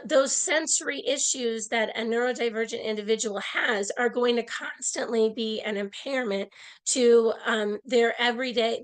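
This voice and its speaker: Woman, slightly hoarse, fairly high voice, earnest online presenter, earbud mic. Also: rough recording. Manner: earnest, explanatory, with frequent restarts. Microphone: earbud mic on a video call.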